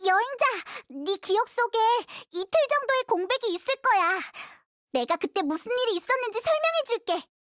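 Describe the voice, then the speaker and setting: A woman voicing a small, wise, gentle girl deity in a video game, speaking in a calm, thoughtful, explanatory way. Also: extremely high pitch, a little fast, no room sound, clean studio sound, full character voice. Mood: calm, explaining.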